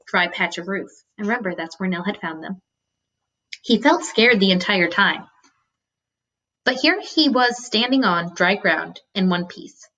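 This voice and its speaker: A woman with a mid-pitched voice reads a story aloud as a teacher would. She narrates expressively and adds instructive asides for her listeners. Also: a bit bright, lo-fi sound.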